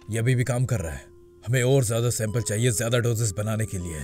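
A man's voice: fairly low pitch, fast, a little mellow, soft background music, natural voice. A man voicing a grave, matter-of-fact middle-aged male doctor in a dramatic game dub, with a sober manner. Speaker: amateur fan dubber.

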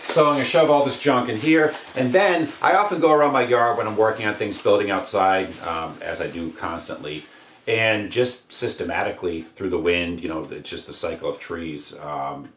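American male DIY presenter, low-pitched, casual and rambling, recorded in an echoey living room. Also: moderate pace, a bit bright. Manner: offhand, slangy, rambling. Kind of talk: casual hands-on how-to demonstration. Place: indoors, living room by wood stove. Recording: roomy echo, noise of handled objects.

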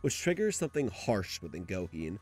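A man's voice: sits low, very animated, moderate pace, music in the background. A man giving casual scripted commentary as a video essayist, informal and conversational in manner. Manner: informal, conversational, mildly sweary. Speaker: video essayist, series host.

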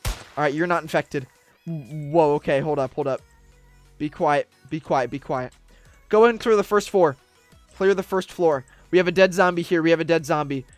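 A man's voice: fairly high pitch, normal speed, soft background music. Young man barking urgent squad orders. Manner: urgent, barking orders, swings between hushed and shouting. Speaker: streamer leading a roleplay team. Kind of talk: in-character roleplay commands. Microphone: desk mic, webcam streamer.

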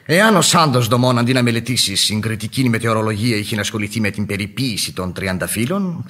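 An actor playing a pompous, pedantic gentleman suitor who speaks in flowery, over-courteous phrases in a Greek radio play. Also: lowish voice, lively intonation. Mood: lecturing. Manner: flowery, pompous, hesitant hemming. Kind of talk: Greek radio theatre acting.

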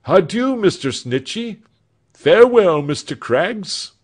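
A man reads a fervent young man from a storybook, raised and lively in pitch, every phrase earnest and heartfelt. He speaks with feeling and a slow, swelling delivery. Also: full character voice. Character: earnest young man, devoted lover departing.

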